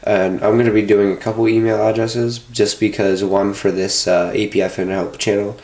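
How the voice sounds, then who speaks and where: A man's voice: on the low side, level tone, normal speed, a little mellow. A young man with a stuffed-up nose from a cold, walking through a tech how-to in a loose, chatty way full of filler sounds, recorded indoors at home on a nearby camera. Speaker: tech tutorial host. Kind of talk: casual step-by-step how-to.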